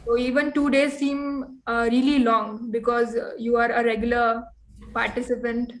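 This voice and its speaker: A woman's voice: fairly high pitch, little pitch movement, normal speed. A woman with an Indian accent hosting a casual online meeting, heard over a noisy video call. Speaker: meeting host.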